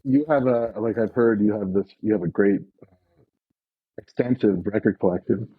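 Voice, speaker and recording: A man's voice: fairly low pitch, moderate pace, breathy edge, dry recording, phone-quality sound. A man in his forties telling a long, rambling story in casual chat, with lots of fillers and restarts. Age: 40s. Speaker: podcast guest.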